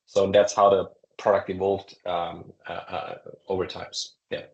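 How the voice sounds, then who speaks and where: A man, a startup founder, answering interview questions in a halting way, with many fillers and restarts. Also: deep voice, little pitch movement, creaky, muffled recording. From Croatia. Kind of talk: conversational interview. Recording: echoey room.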